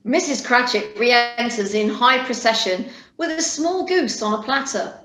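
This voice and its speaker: A woman's voice: a little high, a little slow, a bit bright. A woman reading scripted narration for a radio play. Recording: echoey room on home call. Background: music.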